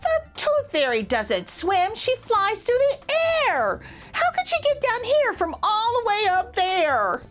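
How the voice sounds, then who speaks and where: A woman's voice: very high voice, huge pitch swings, slow pace, few pauses, a bit bright, faint music behind, pitched above the performer's own voice, full character voice. Woman doing a nervous little boy shark. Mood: worried. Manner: worried, eager, rhyming lines.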